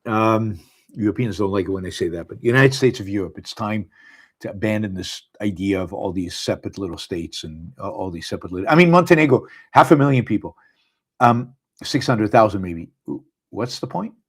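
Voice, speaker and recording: A man's voice: on the low side, slightly rough. Israeli guy hosting a live stream, middle-aged, lots of uh and um, restarts his sentences. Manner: halting, many fillers and restarts. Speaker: podcast host. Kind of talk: live casual monologue. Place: abroad, speaking on a live stream.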